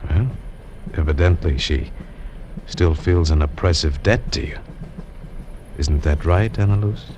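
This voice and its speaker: An actor playing an earnest, determined adventurer who tells his tale in measured, dramatic old-time radio style. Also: very deep voice, background noise. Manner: dramatic, measured first-person storytelling. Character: wounded adventurer hero recounting his treasure hunt, earnest and determined.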